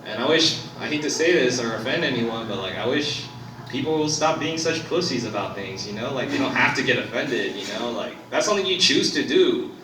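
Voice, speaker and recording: A man's voice: medium pitch, expressive, a little fast, music in the background. A young man from Korea performing a first-time stand-up set, talking loosely with lots of fillers and swearing. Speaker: stand-up comedian. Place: comedy club stage. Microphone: phone recording from the audience. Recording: roomy, distant phone recording with crowd. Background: audience laughter.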